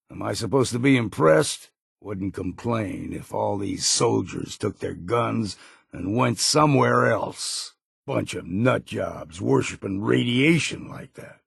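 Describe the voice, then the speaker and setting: An actor playing a grizzled, world-weary old hunter in a video game, with an American accent and a gruff, gravelly, folksy delivery. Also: male voice, medium pitch, no echo, studio quality, full character voice. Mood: scornful. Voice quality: gravelly, husky. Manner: folksy, plain-spoken, blunt. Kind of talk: video game character voice lines.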